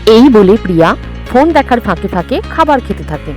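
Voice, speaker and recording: একজন নারী বাচ্চাদের ভূতের গল্প লিখিত পাঠ থেকে বর্ণনা করছেন।. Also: female voice, a little high, big pitch swings, a little fast, dark tone. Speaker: storyteller. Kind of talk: scripted children's horror story narration. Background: faint music.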